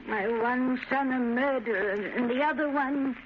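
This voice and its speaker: A woman's voice: sits high, a little slow. An actress playing a worn-out, plaintive middle-aged mother in old radio melodrama style. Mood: bleak, despairing. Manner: plaintive, overwrought. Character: despairing, worn-out mother of two criminal sons. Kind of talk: 1940s radio melodrama acting. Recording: noisy, muffled old radio recording.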